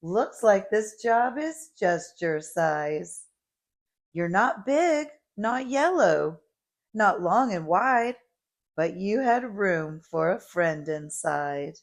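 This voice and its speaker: A woman's voice: medium pitch, expressive, takes it slowly. A woman voicing a big, proud, kindly female school bus from a storybook, self-assured and patient. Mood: impressed, approving.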